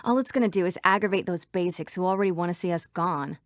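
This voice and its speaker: A woman's voice: on the low side, quick pace, no echo, studio quality, lightly acted. An actress voicing female computer program citizens in a scripted video game. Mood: defiant, blunt. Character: female computer program citizens, by turns frightened, defiant or official.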